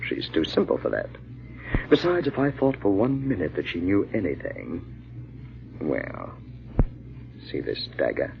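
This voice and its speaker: An actor playing a ruthless, smug bandit leader and husband in an old-time radio drama, mocking and commanding. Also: male voice, deep voice, huge pitch swings, a little slow, vocal fry, long pauses, exaggerated voice. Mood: scornful, dismissive. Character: ruthless, smug husband secretly leading a murderous bandit gang. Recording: muffled, noisy vintage radio recording.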